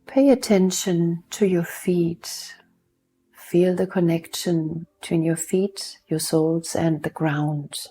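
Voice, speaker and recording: A woman leading a guided mindfulness meditation in a soft, soothing manner, unhurried and leaving long pauses between instructions. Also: on the low side, steady tone, soft background music.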